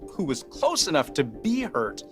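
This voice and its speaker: A man's voice: a little high, huge pitch swings. Male news explainer over a music bed. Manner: deliberate, emphatic phrasing with rhetorical questions. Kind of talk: scripted explanatory news narration. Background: background music bed.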